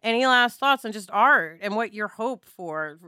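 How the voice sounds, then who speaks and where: Bright-voiced, chatty woman hosting a podcast. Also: fairly high pitch, expressive, unhurried, smooth, no echo, clean studio sound. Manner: chatty, informal. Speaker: podcast host. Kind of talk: casual podcast chat.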